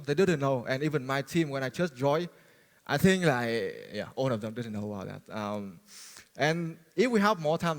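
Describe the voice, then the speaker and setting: Man speaking in a hall. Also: medium pitch. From Vietnam. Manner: friendly, halting, many fillers and restarts. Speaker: conference speaker. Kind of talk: technical conference talk. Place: large conference hall. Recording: long reverb of a large hall.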